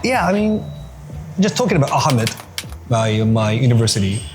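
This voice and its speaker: A man's voice: medium pitch, big pitch swings, moderate pace, music in the background. A Japanese man speaking English with a mixed American, British and Australian accent. He chats in an interview, full of fillers and restarts, and laughs often. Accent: mix of American, British and Australian. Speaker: guest. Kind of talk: casual interview chat.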